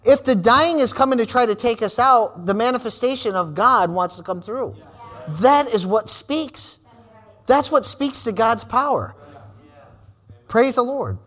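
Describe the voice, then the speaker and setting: A man in his forties preaching a sermon, calling out "amen" and "praise the Lord" between lines and building to shouted, repeated refrains. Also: very high-pitched, animated, measured pace. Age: 40s. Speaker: pastor.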